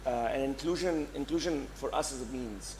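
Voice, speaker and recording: A hoarse man speaking on a conference panel, casual and direct. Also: medium pitch, moderate pace, darkish tone, a little hiss or hum. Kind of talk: panel discussion. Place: conference hall.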